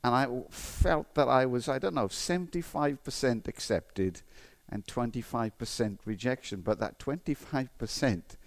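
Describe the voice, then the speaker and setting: A man's voice: mid-range voice, moderate pace, creaky. Middle-aged male preacher, faded Welsh accent, conversational sermon delivery. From Wales. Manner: conversational, with frequent restarts and fillers. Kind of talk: sermon with personal stories and Bible reading.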